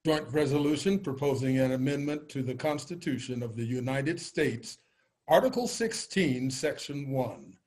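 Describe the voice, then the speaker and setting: A man playing a formal official, reading proclamations deliberately and declaiming them in a readers' theater style. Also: mid-range voice, expressive, darkish tone, natural voice, rough recording. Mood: formal, proclaiming.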